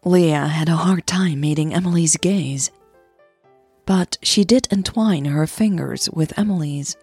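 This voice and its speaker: A native Dutch woman narrates romantic fiction in a low, breathy, husky voice. She reads slowly, with a creaky edge to her words. The sound is close and intimate to the mic. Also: a little mellow, no echo, faint music behind. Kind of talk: scripted fiction narration, romance audio drama.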